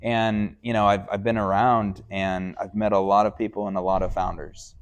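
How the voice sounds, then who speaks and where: Male interview host, low register, conversational and chatty. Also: little pitch movement, normal speed, clean tone.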